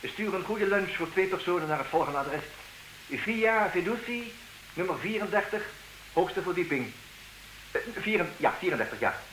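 An actor playing a suave, condescending, idle wealthy bachelor in a comedic radio play, with theatrical mock politeness. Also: male voice, high-pitched, expressive, moderate pace, a bit bright, low-quality recording. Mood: breezy, commanding. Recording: hissy old radio drama recording.

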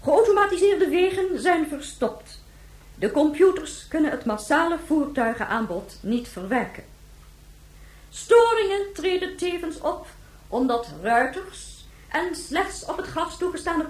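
A stately female head of government speaks in a high, widely swinging voice. She delivers formal emergency announcements in a radio play, and the sound is roomy and echoing. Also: very animated. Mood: solemn, official. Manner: stately, measured, official. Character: formal female planetary president reading emergency measures. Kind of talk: formal government broadcast speech in radio drama.

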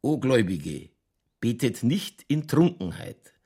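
A man playing a boozy, world-weary middle-aged police chief in a radio play, with a Lower Bavarian accent and a rambling, tipsy, cynically jovial delivery. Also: sing-song, slow pace, dark tone, husky, full character voice. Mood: wry, rambling. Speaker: actor in a crime radio play. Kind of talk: naturalistic German radio drama dialogue.

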